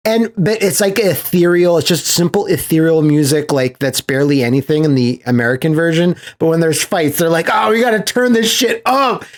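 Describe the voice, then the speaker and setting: A man hosting a podcast in casual chat, telling stories in an animated, sweary way with laughter mixed in. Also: fairly high pitch, brisk, few pauses. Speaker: podcast host.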